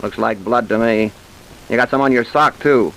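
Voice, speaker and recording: A man playing a hard-boiled American police detective in a vintage radio crime drama. He is suspicious and commanding, talking in blunt slang at a medium male pitch. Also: moderate pace, fairly bright tone, natural voice, poor audio. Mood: gruff, accusing. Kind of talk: old-time radio crime drama acting.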